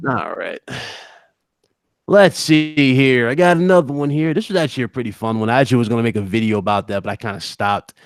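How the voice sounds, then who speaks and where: A man's voice: medium pitch, expressive, brisk, dry recording. Male gaming podcast host, close dry sound. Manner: informal, teasing, addresses co-hosts and chat. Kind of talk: casual live-stream chat and news commentary.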